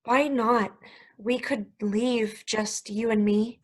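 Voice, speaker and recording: A woman's voice: fairly high pitch, fairly even intonation, slow, husky. A woman acting a playful, impatient young mistress of the 1950s at a stage play table reading. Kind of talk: stage play table read, romantic dialogue.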